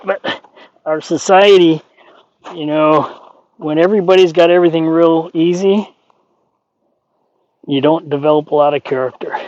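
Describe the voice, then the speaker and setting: A man's voice: fairly high pitch, a little mellow, muffled recording. A man explaining horse training as he works with a young horse, in a folksy, rambling, plain-spoken way. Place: outdoors at a horse pen.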